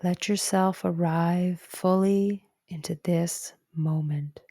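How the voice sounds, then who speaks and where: A woman narrating a guided meditation in a gentle, calm and soothing way, with soft breathy phrase endings. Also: on the low side, slow pace, no echo, studio quality. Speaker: psychologist guiding a meditation.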